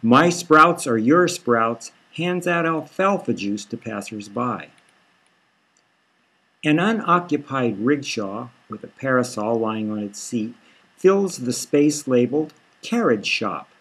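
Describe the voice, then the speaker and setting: A man's voice: mid-range voice, lively intonation, slow, a bit bright, husky, poor audio. A man reading his own satirical fiction aloud as a scripted narration. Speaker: author reading own novel.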